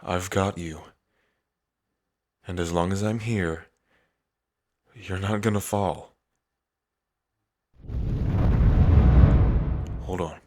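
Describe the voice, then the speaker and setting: A man's voice: deep voice, steady tone, slow. A man playing a cocky yet tender young dragon king in his twenties, speaking casually and hesitantly to the listener in an intimate ASMR roleplay. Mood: tender, soothing. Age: 20s. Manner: casual, hesitant, trailing phrasing.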